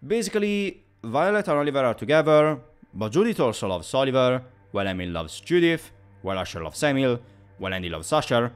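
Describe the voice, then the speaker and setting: A man's voice: mid-range voice, sing-song, fairly quick, clean tone. A man giving a scripted video essay review as commentary, in a wordy, analytical way with emphatic phrasing.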